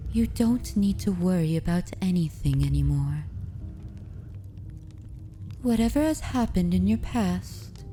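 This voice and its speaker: A woman playing a dominant, possessive yet tender vampire mistress in an ASMR roleplay, speaking softly and intimately in a formal, courtly manner. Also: medium pitch. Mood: soothing, tender. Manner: slow, deliberate, formal and courtly. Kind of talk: ASMR roleplay, soft intimate delivery with whispers.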